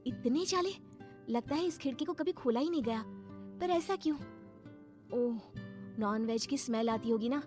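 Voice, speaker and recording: Woman acting a high-strung anxious young bride. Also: moderate pace, music in the background, natural voice. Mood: curious. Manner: exclaiming, fretful self-talk. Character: anxious young new bride, timid, easily frightened.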